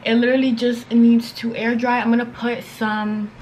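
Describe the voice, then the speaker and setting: A woman's voice: a little high, little pitch movement, normal speed, darkish tone, slight background noise, poor audio. A young woman chatting casually and slangily as a vlogger, rambling along with lots of filler words. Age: young adult, around twenties. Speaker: beauty and lifestyle vlogger. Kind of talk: casual chatty get-ready-with-me vlog. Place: bedroom at home, indoors.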